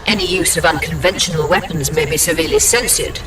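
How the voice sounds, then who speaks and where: An actress playing a stern, calculating sorceress of a secret lodge in a video game, speaking formally. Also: female voice, deep voice, quick pace, lightly acted. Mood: guarded. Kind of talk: dramatic video game acting. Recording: distant voice, music behind.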